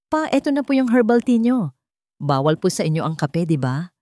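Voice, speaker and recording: A woman's voice: fairly low pitch, very animated, normal speed, warm tone, dry recording, clean studio sound. A woman voicing a caring, affectionately bossy son in a family audio drama. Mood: caring, chiding. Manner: chiding affectionately. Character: caring, bossy son looking after his sick father.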